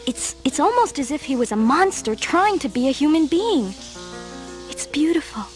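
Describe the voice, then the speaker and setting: An actress playing an earnest, wondering young fossil enthusiast in a radio play. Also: female voice, sits high, very animated, normal speed, soft background music. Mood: wistful, fascinated.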